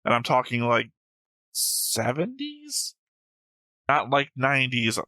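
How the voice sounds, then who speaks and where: A man's voice: mid-range voice, huge pitch swings, slow pace, pushed and bright, no echo, studio quality. A man hosting a reaction show, talking casually and argumentatively with frequent swearing. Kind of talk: casual discussion, reacting to an animated series.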